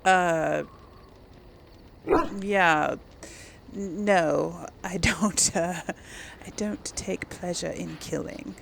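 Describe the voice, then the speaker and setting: A woman hosting a casual role-play gaming video. Her voice is creaky and her pace unhurried, with a musing, hesitant way of putting things. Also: fairly low pitch, slight background noise.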